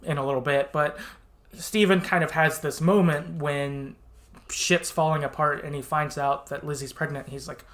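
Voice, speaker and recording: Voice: mid-range voice, steady tone, normal speed, fairly bright tone. A podcast host talking casually and informally, retelling a story in a conversational way with plenty of hedging.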